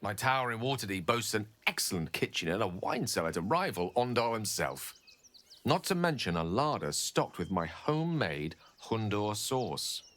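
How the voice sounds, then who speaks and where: An eloquent wizard from a game, lowish in pitch but bright and forceful in tone. His delivery is articulate and theatrical, with lively swings of pitch. A faint noise sits under the voice. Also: normal speed. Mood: tender. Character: eloquent male wizard, refined and ambitious.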